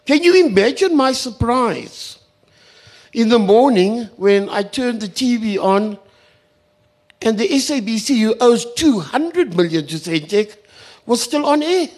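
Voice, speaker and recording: A man's voice: very high voice, lively intonation, a little slow, a little mellow. A South African man giving an impassioned panel speech, indignant and sarcastic, hammering phrases home by repeating them. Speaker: community radio sector representative on a panel.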